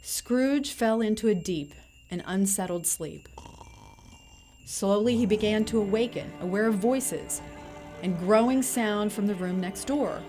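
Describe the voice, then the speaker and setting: A woman with a mid-range voice narrates a radio play. She reads the scripted story in a measured, composed way, with music clearly behind her. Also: medium pitch, dark tone.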